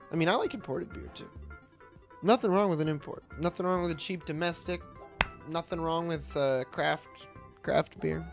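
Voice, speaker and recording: Rambling, cussing young guy gaming. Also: a little high. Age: late 20s. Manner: rambling, profane and self-mocking. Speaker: streamer and podcast host. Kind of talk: casual gameplay commentary.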